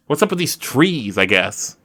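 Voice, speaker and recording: A man's voice: medium pitch, very animated, slow, bright, forceful tone. A man playing a tabletop roleplaying game, chatting casually and improvising with joking, mock complaints. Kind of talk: casual chat with in-character improvisation.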